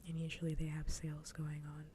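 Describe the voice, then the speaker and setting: Female ASMR host, laid-back breathy whisper, dark relaxed tone, even delivery, casual chat. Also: low voice, a little fast, a little hiss or hum. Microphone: close ASMR microphone.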